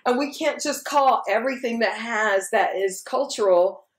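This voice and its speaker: Emphatic woman, echoey room. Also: fairly high pitch. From Louisiana. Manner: animated, emphatic, quick to laugh. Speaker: podcast host. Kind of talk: casual podcast conversation.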